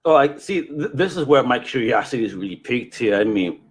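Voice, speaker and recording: A man's voice: mid-range voice, animated, fairly quick. A man hosting a podcast with exuberant, slangy, hype-filled casual chat. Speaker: podcast host.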